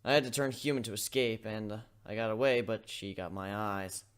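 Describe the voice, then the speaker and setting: A man's voice: fairly low pitch, fairly even intonation, a little fast, a little hiss or hum, voice pushed down, lightly acted. A man voicing a young male griffin in human form in a dramatic reading, blunt, offhand and wry. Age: young adult. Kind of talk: dramatic fan-fiction reading.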